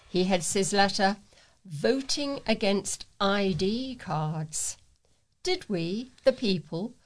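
A woman reads local news and letters in a medium-pitched voice. Her phrasing is measured and deliberate, as a volunteer reader on a talking newspaper.

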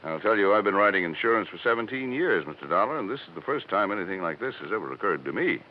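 An actor playing a courteous, respectable, slightly uneasy middle-aged insurance broker in a 1950s radio drama. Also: male voice, lowish voice, very animated, fast talker. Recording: dull, hissy 1950s radio recording.

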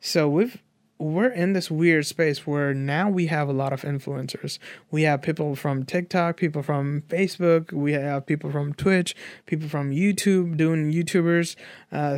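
A man's voice: on the high side, no echo, studio quality. A man in his twenties from Nepal, giving his opinions in a casual, earnest, rambling conversational way as a guest. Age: 20s. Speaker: interview guest.

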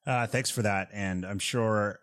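Laid-back podcast host guy, low-ish voice, ums and uhs. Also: a little slow. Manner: relaxed, joking, lots of fillers. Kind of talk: podcast interview hosting.

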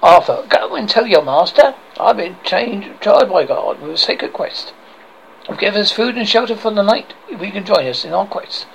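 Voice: mid-range voice, expressive, moderate pace, creaky, slight background noise, low-quality recording. A person voicing an earnest, formal English king on a quest, polite but authoritative, in comic script reading.

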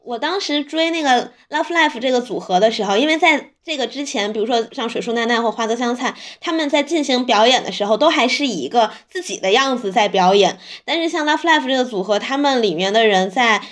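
A woman's voice: fairly high pitch, lively intonation, brisk, few pauses. A woman speaking as a podcast host in casual conversation. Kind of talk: casual chat.

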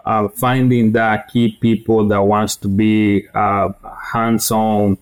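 A middle-aged man from Guatemala, answering interview questions as a guest in a halting way with many fillers and restarts. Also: lowish voice, flat delivery, clear, resonant tone. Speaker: podcast guest, feed industry director.

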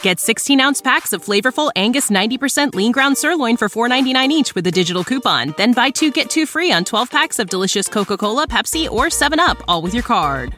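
A woman's voice: a little high, very animated, fast, hardly any pauses, sharp tone, soft background music. A woman reading a scripted retail commercial voice-over. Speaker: advertising voice-over.

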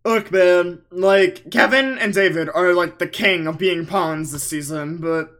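A man's voice: high voice, a little slow, a bit bright. A young man co-hosting a casual recap, rambling and swearing, slipping into mocking impressions. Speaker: podcast co-host.

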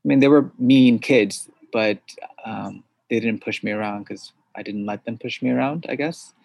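Man, thoughtful guest, hesitant pauses, gravelly, dry close sound. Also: mid-range voice, no room sound, lo-fi sound. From suburbs of New York City. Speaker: podcast guest, founder. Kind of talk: interview conversation.

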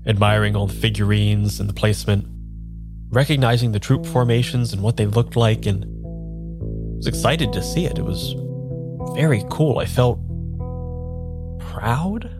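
Audio drama lead for a male actor: apologetic, uneasy man with no memory, lowish voice, halting delivery, music bed. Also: natural voice. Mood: quiet wonder. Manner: halting, repeats himself, hesitant. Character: uneasy amnesiac man, apologetic and wistful, narrating his search. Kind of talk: improvised audio drama, first-person narration and dialogue.

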